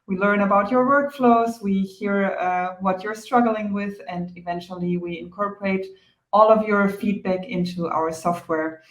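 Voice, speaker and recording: A woman's voice: mid-range voice, steady tone, moderate pace. German-accented woman hosting a webinar. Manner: friendly, organized, with fillers. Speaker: product marketing host. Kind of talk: webinar presenting. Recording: echoey room.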